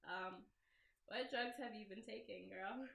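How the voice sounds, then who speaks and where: A woman's voice: mid-range voice, measured pace, creaky, fairly bright tone, echoey room. A woman voicing a teasing, laid-back teenage stoner boy for a visual novel, with a drawling manner.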